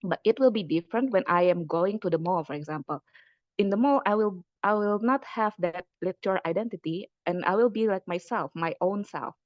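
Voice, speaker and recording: A woman from Indonesia giving a webinar lecture as a lecturer, speaking conversationally with frequent fillers and pauses. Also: medium pitch, dry recording, dull audio. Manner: hesitant, many fillers, conversational. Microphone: computer mic on a video call.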